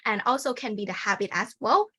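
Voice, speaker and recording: A woman's voice: mid-range voice, expressive, fairly quick, sharp tone, husky. A young woman from Thailand with a Thai accent, a native Thai speaker giving an academic conference presentation in an informal way, full of fillers like "like" and "kind of", over a video call.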